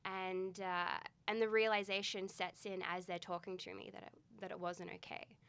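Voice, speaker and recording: A woman in her thirties with a softened Australian accent, a podcast guest chatting in an upbeat, self-deprecating way. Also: medium pitch, level tone, moderate pace, clear, resonant tone. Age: 30s. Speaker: guest, photographer. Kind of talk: casual conversation. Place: small studio indoors.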